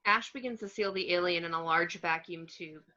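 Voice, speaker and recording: A woman's voice: lowish voice, moderate pace, sharp tone. A woman narrating a screenplay's action descriptions in a scripted read. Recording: dull, lo-fi home recording.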